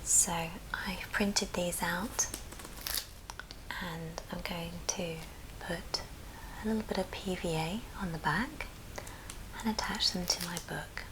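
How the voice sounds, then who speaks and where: Woman, whispered ASMR craft host, lowish pitch, very unhurried, clearly noisy recording with clicks. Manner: whispering throughout. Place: at a friend's house, indoors. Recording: noticeable background noise and clicks.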